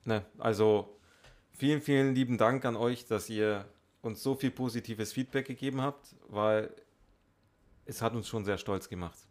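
A man's voice: mid-range voice, moderate pace, darkish tone. A man co-hosting a podcast in casual chat. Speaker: podcast co-host.

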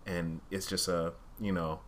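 Guy with a low voice on a podcast, just talking it through candidly, kinda reflective and hedging. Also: little pitch movement, measured pace, slight background noise. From Uganda-born, raised in Rwandan culture. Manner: reflective, hedging, thinking aloud. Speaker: podcast co-host. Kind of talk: casual candid discussion.

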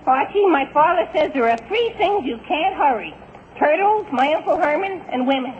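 High, gawky wisecracking teen boy, laid-back, old radio sitcom, scratchy narrow broadcast. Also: expressive, moderate pace. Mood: droll. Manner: gawky, dryly wisecracking, folksy slang. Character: droll, laid-back teenage boy sidekick. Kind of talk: 1940s radio comedy acting. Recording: noisy, scratchy, phone-thin 1940s radio broadcast.

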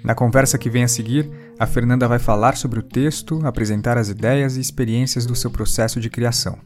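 A man's voice: mid-range voice, steady tone, fairly quick, faint music behind. A Brazilian man hosting a podcast, introducing and interviewing in a conversational way.

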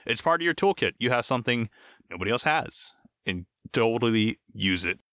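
A man's voice: medium pitch, big pitch swings, moderate pace, bright, forceful tone, dry recording, studio quality. A man co-hosting a casual podcast about voice acting, upbeat and self-deprecating. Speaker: podcast co-host, voice actor. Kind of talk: casual chat.

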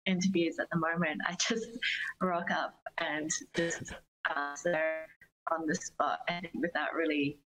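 A woman's voice: deep voice, fairly even intonation, a little slow, a bit bright, faint music behind, rough recording. A young woman, a singer-songwriter, chatting casually as an interview guest.

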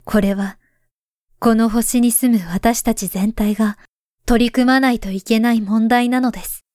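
A solemn woman reading a warning letter aloud in a voice drama, voiced by a woman. Her voice sits fairly high but stays hushed and soft, often slipping toward a whisper. The sound is close and dry. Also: steady tone, measured pace, no echo, close to an everyday voice. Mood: earnest. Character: grown woman writing to her past self, grave and pleading.

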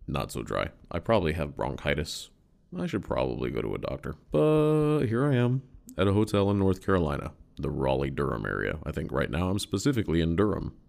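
A very deep, gravelly male voice with a lively, swooping pitch, stuffy and a little nasal from a head cold. He chats loosely and self-deprecatingly to his listeners, swearing freely, and is recorded on a USB condenser mic in a hotel room. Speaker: podcast host. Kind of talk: casual solo podcast chat.

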